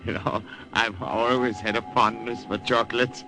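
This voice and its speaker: An actor playing a suave, polite German field marshal who speaks softly and hides threats behind courtesy, in 1950s radio acting style. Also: male voice, mid-range voice, fairly even intonation, normal speed, natural voice, rough recording. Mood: coaxing. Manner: courtly and soft-spoken, with veiled threats.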